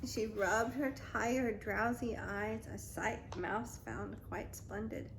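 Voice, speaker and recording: A woman reading a picture book aloud as a mother to a toddler, in an expressive, dramatic storytime style. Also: mid-range voice, slow pace, gravelly, slight background noise. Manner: dramatic, child-directed.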